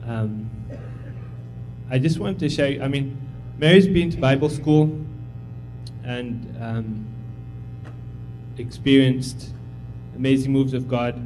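A man's voice: medium pitch, slow, long pauses, a little mellow, slight background noise, poor audio. A man in his thirties who grew up in Tanzania, giving an informal, humble sermon as a visiting missionary, encouraging and full of fillers. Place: church sanctuary.

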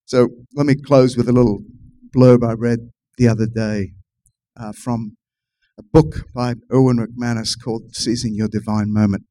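A male pastor in full sermon mode, his voice at an everyday middle pitch. He drives his points home with passionate bursts of emphasis, then settles back into steady exhortation. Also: normal speed. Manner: impassioned, surging emphasis on key phrases.

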